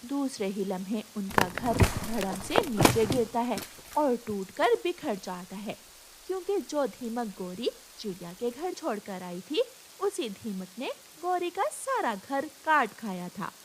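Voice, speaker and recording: A woman's voice: a little high, big pitch swings, slow, a little hiss or hum, rough recording. A woman narrating a children's cartoon story as a scripted storyteller.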